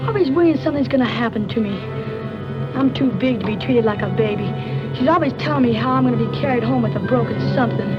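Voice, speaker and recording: Woman acting chatty high-voiced child, old radio drama. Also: close to an everyday voice. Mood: indignant. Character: chatty, nosy, defiant young child out at night. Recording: dull old radio recording with music.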